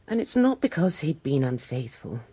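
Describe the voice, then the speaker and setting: A woman playing a poised, candid British widow in a radio drama, genteel and measured, with a dark husky voice. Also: deep voice, sing-song, full character voice. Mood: candid, weary. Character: poised, candid upper-class widow, coolly charming.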